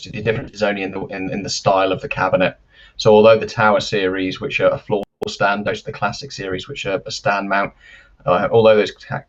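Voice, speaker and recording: A man giving careful, explanatory interview answers as a company expert, with measured and hesitant phrasing, over lo-fi live-stream audio. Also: lowish voice, a bit bright. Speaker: loudspeaker company representative, interview guest.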